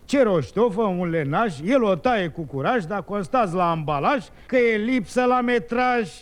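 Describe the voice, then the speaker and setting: An actor playing a wily, excitable Turkish folk trickster in a 1950s radio comedy, telling rhymed tales in an exclamatory sing-song manner. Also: male voice, high-pitched. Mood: animated, mock indignant.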